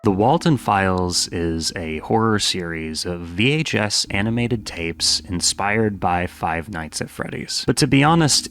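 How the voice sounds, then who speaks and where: A man's voice: low voice, fairly bright tone. A man narrating a scripted video essay as host, with a wry, conversational manner and casual asides. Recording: music under the voice. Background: background music.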